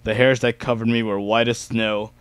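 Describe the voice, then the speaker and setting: A man's voice: medium pitch, little pitch movement, normal speed, smooth, no echo. A young man giving an amateur dramatic reading of a first-person story.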